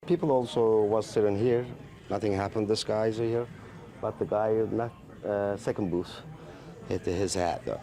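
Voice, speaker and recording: A man recounts what he saw in halting, broken phrases. His voice is fairly low, dark and gravelly. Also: steady tone. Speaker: eyewitness. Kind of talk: unscripted eyewitness account.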